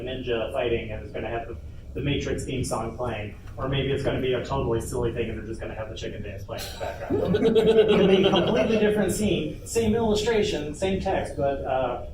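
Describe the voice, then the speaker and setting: A man's voice: medium pitch, recorded from a distance. Man presenting in a noisy, echoey room. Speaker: workshop presenter on a convention panel. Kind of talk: informal instructional presentation to an audience. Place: convention panel room.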